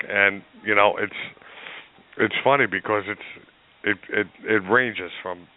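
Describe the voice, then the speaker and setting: Deep-voiced New Jersey man. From Newark, New Jersey. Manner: animated storyteller, many restarts and laughs. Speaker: guest. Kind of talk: casual chat. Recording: tinny phone-line sound.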